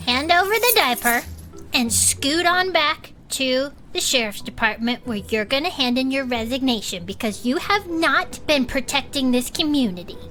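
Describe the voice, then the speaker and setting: A woman's voice: high-pitched, expressive, moderate pace, sharp tone, faint music behind. A woman playing a simple, loyal bruiser who protects buddies with blunt, childlike menace, in an improvised audio drama. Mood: stern threat.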